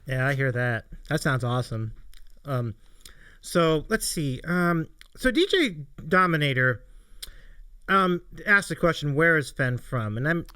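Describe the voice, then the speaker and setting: A man's voice: fairly high pitch, lively intonation, unhurried, bright, forceful tone, clear, resonant tone, no echo. A man hosting a casual interview, agreeable and affirming as he passes questions to his guest. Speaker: show host interviewing a guest. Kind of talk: casual live interview chat.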